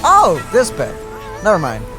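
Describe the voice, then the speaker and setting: A playful, goofy man co-hosting a let's play, dry one moment and lively the next. His voice sits at a middle pitch and moves around a lot, with game music playing clearly behind him. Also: a little slow. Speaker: gaming channel co-host. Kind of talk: casual game reaction commentary.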